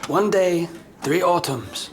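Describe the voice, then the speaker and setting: An actor playing a stoic, earnest police inspector from Hong Kong in an audio drama, speaking in a measured way. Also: male voice, sits high, vocal fry, background noise, full character voice. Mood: calm, fond. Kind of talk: full-cast audio drama acting. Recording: music behind the voice.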